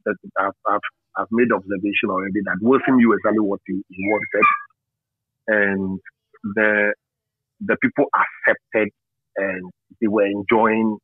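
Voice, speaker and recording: A middle-aged Ghanaian man giving reflective interview answers, halting and repeating phrases for emphasis. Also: fairly low pitch, flat delivery, breathy edge, no echo. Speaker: interview guest, media and marketing professional. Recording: tinny narrow phone-like line.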